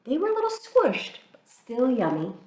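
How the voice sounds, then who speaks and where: A woman reading a children's picture book aloud in an expressive, storytelling way. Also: sits high, moderate pace, noisy background. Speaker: church reader. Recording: echoey room, mic far off.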